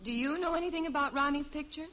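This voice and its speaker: An actress playing a patient, sensible mother who gently scolds, in a 1950s radio sitcom. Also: sits high, fast, close to an everyday voice. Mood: concerned. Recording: muffled, hissy old radio recording.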